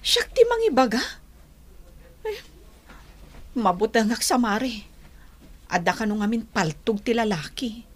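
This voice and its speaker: An actress playing a poor, fretful village wife, plaintive and worried, in an Ilocano radio drama. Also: female voice, on the high side, expressive, a little slow, long pauses. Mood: worried, complaining.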